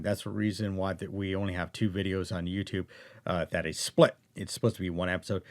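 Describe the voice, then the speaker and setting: A man giving a rambling, casual update to viewers, halting and often rephrasing himself as host and narrator. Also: on the low side. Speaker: audiobook narrator and channel host.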